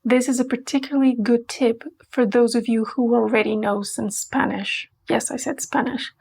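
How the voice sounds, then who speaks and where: A woman's voice: fairly high pitch, fairly even intonation, gravelly, a little mellow, husky. A woman from Portugal, teaching a playful, emphatic scripted language lesson. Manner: playful, emphatic, slows to spell out words.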